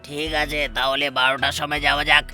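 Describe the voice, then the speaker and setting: A man voicing a wary, surly career thief in a cartoon, gruff and suspicious. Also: fairly high pitch, level tone, brisk, sharp tone, soft background music. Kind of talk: cartoon voice acting.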